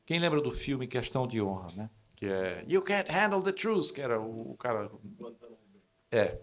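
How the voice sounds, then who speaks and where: Brazilian man telling an anecdote, mid-pitched. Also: very animated, moderate pace. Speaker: panel speaker, advertising creative. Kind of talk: conversational anecdote telling on a panel.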